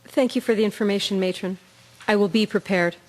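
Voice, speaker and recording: An actress playing a composed, stoical Canadian nursing sister in a wartime radio drama. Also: female voice, mid-range voice, a little fast, natural voice, low-quality recording. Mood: composed, resolute.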